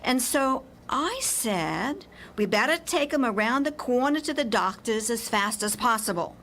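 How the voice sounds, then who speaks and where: Anxious, earnest wife , female actor, radio drama. Unhurried, deliberate account, with slight noise behind. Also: a little high, animated, a bit bright. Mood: earnest, confessional. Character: anxious wife explaining how she dumped a body. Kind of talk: radio play crime story acting.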